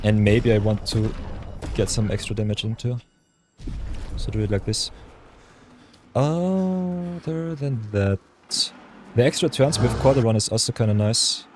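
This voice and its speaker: Male game streamer, deep voice, easygoing chatter with chat, mutters to himself mid-play. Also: lively intonation, a little slow, long pauses, darkish tone, a little hiss or hum. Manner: chatty, talks to chat viewers, hums and mutters while thinking. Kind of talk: casual live-stream chat over gameplay.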